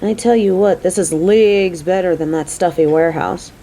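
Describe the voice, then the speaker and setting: Mellow, caring woman coworker played by a voice actress. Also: lowish voice, normal speed, rough recording. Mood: relaxed, warm, then grumbling. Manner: motherly, teasing, chatty. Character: caring, playful young woman coworker on a date. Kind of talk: audio roleplay acting addressed to the listener.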